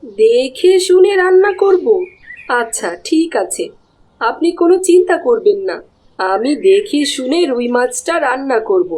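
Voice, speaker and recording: A woman's voice: high voice, animated, unhurried, darkish tone, low-quality recording. A woman voicing a naive, dutiful village wife in a cartoon, with an earnest, coaxing manner. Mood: eager, obliging. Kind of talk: Bengali cartoon dialogue.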